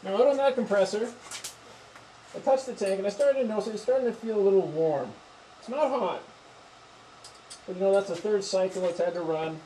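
A man's voice: sits high, a little fast, pauses often, mellow, low-quality recording. A man demonstrating spray finishing in his home garage, thinking aloud casually and restarting his sentences. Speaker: home woodworker. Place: home garage paint booth. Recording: hissy spray and compressor noise.

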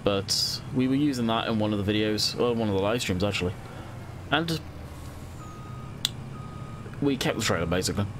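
A young man talks through his game in a loose, rambling way, sounding stuffed up and congested. His voice sits a little low. A steady hiss of noise runs under the home recording. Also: normal speed, long pauses. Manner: chatty and rambling, thinking aloud while playing. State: congested from a cold. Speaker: gaming channel host. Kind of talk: casual let's-play commentary.

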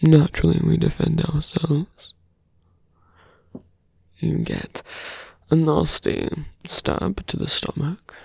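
Suave villain mage for fantasy ASMR roleplay, played by a man: very creaky, breathy, slow and deliberate, mocking with calm menace. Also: a little high, no echo, studio quality, exaggerated voice. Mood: smug, taunting. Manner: hushed, deliberate, condescending, often dropping to a whisper.

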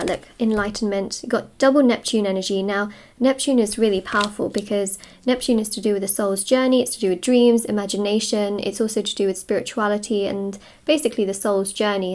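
A woman giving a casual, unscripted tarot reading, musing aloud and rephrasing herself as she goes. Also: medium pitch. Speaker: tarot reader and host. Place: indoors at a wooden table.

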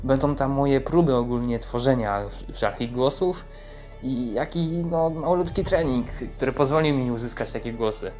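A young man introduces a video in a casual, rambling way. He hesitates as he goes, with a mid-pitched voice and light music behind him. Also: normal speed, a little mellow. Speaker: gamer and amateur voice-over creator.

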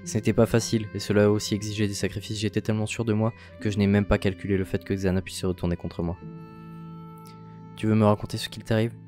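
Man playing weary older father, scientist, low voice, soft, reflective, visual novel figure. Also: flat delivery, fast talker, faint music behind, close to an everyday voice. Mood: gentle, regretful.

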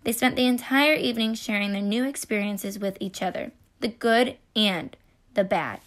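A woman's voice: medium pitch, moderate pace, a bit bright. A woman reading a children's picture book aloud expressively, adding explanatory asides. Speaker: storybook reader.

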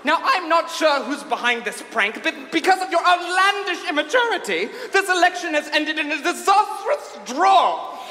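Shrill, flamboyant old headmaster, a man acting. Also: big pitch swings, moderate pace, few pauses, pushed and bright, exaggerated voice. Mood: scolding, exasperated. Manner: theatrical, fussy, exasperated. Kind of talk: comic musical staged reading. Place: on stage in a large hall. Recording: echoey noisy hall with live audience.

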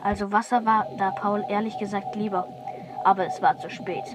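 A woman's voice: medium pitch, flat delivery, normal speed, noisy background. A woman reading scripted narration for a children's radio play. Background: music.

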